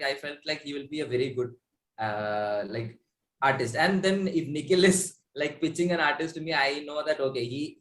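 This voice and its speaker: A man with an Indian accent, a musician being interviewed, telling a story casually and acting out bits of dialogue with lots of "like". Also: mid-range voice. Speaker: musician in a pop duo, interview guest. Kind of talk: casual chat, telling how a song was made. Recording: roomy echo, faint background noise.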